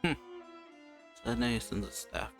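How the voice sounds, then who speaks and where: Gravelly, musing gameplay host. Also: male voice, mid-range voice, animated, over music. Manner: slow, musing, reads text aloud with frequent hums. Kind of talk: casual let's-play commentary, reading game text aloud.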